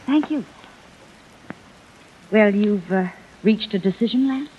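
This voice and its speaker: A woman's voice: mid-range voice, animated, takes it slowly, a little hiss or hum. An actress playing a proud, stubborn woman in a 1950s radio drama, cool, cutting and threatening. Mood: cool, wry. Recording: muffled vintage radio recording.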